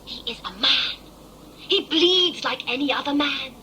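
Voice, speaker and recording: Woman playing high, bright, passionately defiant young woman. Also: unhurried, a little hiss or hum. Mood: defiant, impassioned. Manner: intense, formal, dramatic. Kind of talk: sci-fi audio play acting.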